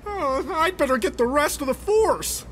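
An actor voicing a timid, stammering, deferential police underling in a video game. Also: male voice, extremely high pitch, animated, moderate pace, fairly bright tone, slight background noise. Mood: panicky. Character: nervous, obedient male police lieutenant underling. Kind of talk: cinematic video game acting.

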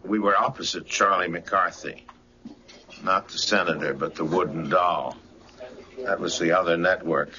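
Very deep-voiced man, noisy old recording. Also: steady tone, a little slow, bright, forceful tone. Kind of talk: dry humorous anecdote. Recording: noisy, dull archival recording.

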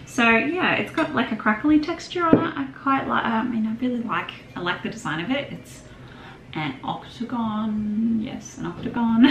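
A woman's voice: fairly high pitch, fairly bright tone. A young woman from Australia chatting casually on camera in her home craft room, rambling a little and laughing often as she talks. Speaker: vlogger. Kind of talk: casual shopping haul chat. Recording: echoey room with background noise.

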